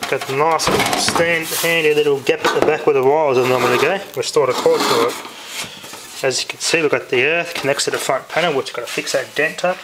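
A man's voice: on the high side, fairly quick. An Australian man talking casually and offhand to viewers while he works with his hands, muttering a little to himself. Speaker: hobbyist video maker. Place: indoors at home, working by hand. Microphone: handheld camera microphone. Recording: noisy handheld camera audio with clicks.

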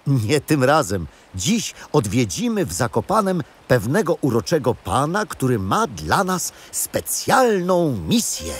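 An actor playing a cheerful grandfather who guides children through history in an audio drama, explaining things in an animated storytelling way. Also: medium pitch, unhurried, few pauses, slightly rough, exaggerated voice. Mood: playful, inviting.

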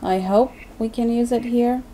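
Woman, let's-play commentator, warm low-key tone, light hiss under the voice, chatty and self-mocking. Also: fairly even intonation, normal speed, rough recording. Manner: chatty, self-deprecating, thinking aloud. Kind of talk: casual gameplay commentary.

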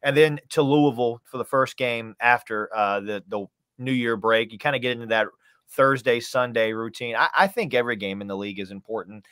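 A man's voice: medium pitch, a little fast, fairly bright tone, smooth, no room sound. A man in his thirties, a sports announcer, giving conversational analysis as a guest on a video call. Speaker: radio play-by-play announcer, podcast guest. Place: small room, indoors, on video call.

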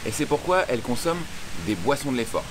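A man's voice: medium pitch, very animated, quick pace. Young man explaining to camera over hiss. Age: young adult. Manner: addresses viewer informally, clear explanatory delivery. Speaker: swim coach and channel host. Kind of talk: direct-to-camera tutorial. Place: outdoors by a pool under a covered walkway.

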